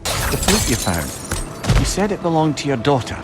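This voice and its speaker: Lively, wise companion. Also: male voice, fairly high pitch, normal speed, loud music behind the voice. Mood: curious. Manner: expressive, gently inquisitive, brotherly. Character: wise, gently probing male companion and confidant. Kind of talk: video game dramatic acting.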